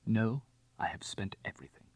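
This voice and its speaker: A man voicing a bitter, proud young gentleman who is ruined and desperate, speaking formally and emotionally in an audiobook story. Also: fairly low pitch, moderate pace, vocal fry, dry recording, pitched above the performer's own voice. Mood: despairing. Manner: emotional, self-reproaching, formal.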